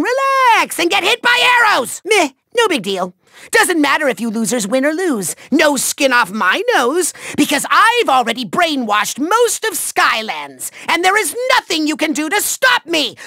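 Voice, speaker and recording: Tiny evil overlord from a cartoony game, super high raspy forceful voice, hammy and gloating, bone dry studio sound. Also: male voice, dry recording, full character voice. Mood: mocking, impatient. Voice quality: shrill, gravelly, nasal. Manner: bombastic, gloating, sneering delivery with drawn-out words. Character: small megalomaniac male cartoon villain, petulant and theatrical. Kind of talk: hammy video game villain acting.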